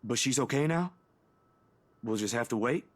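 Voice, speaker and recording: A man's voice: mid-range voice, moderate pace, lightly acted. An actor playing a worried young man in a visual novel, pleading and faltering as he clings to hope. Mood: anxious, hopeful. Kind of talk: visual novel voice acting, dramatic.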